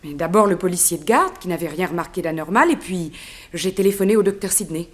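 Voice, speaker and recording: An actress playing a deferential household servant in a 1960s French radio drama, speaking formally and informatively. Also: female voice, on the low side, fast, lightly acted. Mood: earnest reporting. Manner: formal third-person deference.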